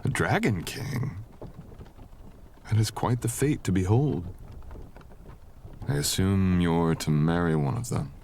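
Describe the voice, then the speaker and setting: A man playing a mysterious, courteous wandering traveler in a soft-spoken romantic fantasy audio roleplay, with old-fashioned, teasing phrasing. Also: low-pitched, animated, slow pace, vocal fry, long pauses, slight background noise, exaggerated voice. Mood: curious, gentle.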